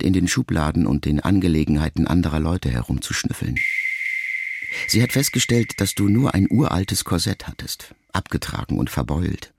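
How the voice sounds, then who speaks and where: A man reading a literary memoir aloud as an audiobook, in a calm, measured first-person voice. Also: sits low, creaky, slight background noise. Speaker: audiobook narrator.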